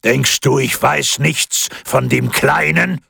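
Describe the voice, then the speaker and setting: A man voicing a giant, menacing male forest spirit who talks slowly in a deep, rumbling voice. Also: creaky, pushed and bright, slightly rough, dry recording, studio quality, exaggerated voice. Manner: deep, rumbling, slow and threatening.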